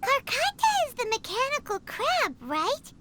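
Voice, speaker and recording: A woman voicing a tiny, childlike, excitable fairy companion in a video game, squeaky, blunt and inquisitive. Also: unhurried, full character voice. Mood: puzzled. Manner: blunt, inquisitive, speaks of herself in third person. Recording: music under the voice.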